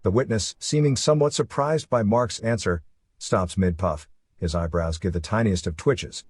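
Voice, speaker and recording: A man's voice: on the low side, normal speed, a little mellow, slightly rough, no room sound, studio quality. A man narrating a suspense story from a script as the narrator of an audio drama. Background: faint music.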